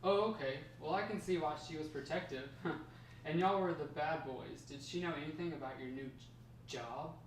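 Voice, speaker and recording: A man's voice: on the high side, moderate pace, natural voice. A man playing a curious young grandson who eagerly prompts his grandfather's story in a table read. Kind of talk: table read of a dramatic script. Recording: echoey room, distant mic, faint noise.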